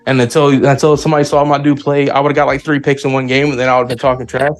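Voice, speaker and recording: A man's voice: medium pitch, little pitch movement, quick pace, faint music behind. A man from the United States chatting casually as a podcast guest, cocky and joking, often repeating himself. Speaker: podcast guest, sim league player. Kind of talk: casual chat.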